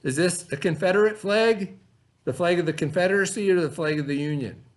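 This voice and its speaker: A man's voice: sits high, brisk. A man reading a lesson aloud as a teacher, explaining as he goes and checking understanding with simple questions.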